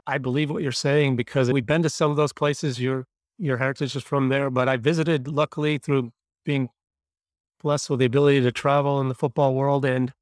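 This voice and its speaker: A man co-hosting a casual football talk, speaking in a measured, reflective way. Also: mid-range voice, dry recording, clean studio sound. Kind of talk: casual discussion.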